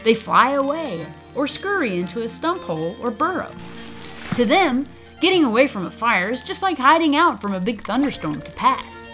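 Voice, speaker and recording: A woman's voice: on the high side, sing-song, normal speed, a bit bright. A woman narrating for children in an upbeat, simple way, as a prescribed burner explaining the forest. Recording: voice-over over music. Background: background music.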